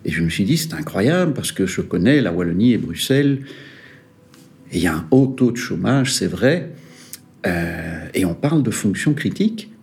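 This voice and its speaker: A man, a regional director of an employers' federation, giving measured interview answers with some hesitant fillers. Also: sits low, sing-song, creaky, a little mellow. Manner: measured, hesitant fillers, stressing key points.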